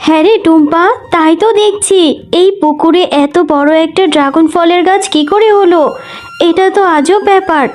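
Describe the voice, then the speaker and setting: Woman voicing a very high-pitched emphatic cartoon mother. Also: moderate pace, darkish tone. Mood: amazed. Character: scolding, protective cartoon animal mother. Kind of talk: children's cartoon story voice acting.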